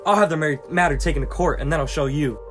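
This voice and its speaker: An actor playing a nervous, touchy hypochondriac landowner in a stage comedy, speaking in a fussy, formal way that stumbles when agitated. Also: male voice, on the high side, quick pace, soft background music, natural voice. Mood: outraged. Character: nervous, touchy hypochondriac landowner, a male suitor prone to outrage.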